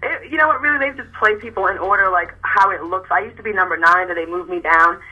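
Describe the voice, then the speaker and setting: Raspy, fast-talking young woman. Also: medium pitch, highs cut off. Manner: chatty, rambling with many restarts. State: exhausted from little sleep. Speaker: interview guest, model and pageant host. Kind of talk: casual chat.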